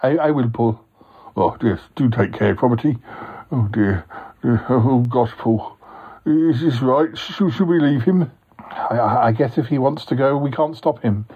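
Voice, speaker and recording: A man's voice: medium pitch, unhurried, husky, narrow, tinny audio. A man playing an anxious, mild-mannered fellow in a comic audio drama, stammering and dithering.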